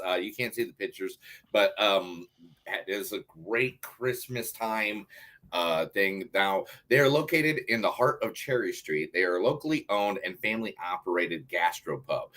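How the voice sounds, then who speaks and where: A man's voice: low-pitched, fairly bright tone. An American man co-hosting a podcast in casual banter, blunt, jokey and sweary. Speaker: podcast co-host.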